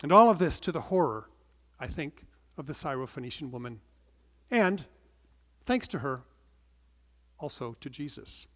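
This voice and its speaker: A middle-aged man preaching a sermon from the pulpit, earnest and measured, stressing some words and letting phrase endings fall soft. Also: fairly high pitch, animated. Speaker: pastor. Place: large wood-panelled church, indoors. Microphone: pulpit microphone. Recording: long church reverb.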